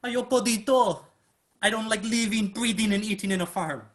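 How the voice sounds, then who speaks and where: A man's voice: high voice, normal speed, natural voice. Man acting brash, streetwise young Filipino guy. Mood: grumbling. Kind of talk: staged reading dialogue.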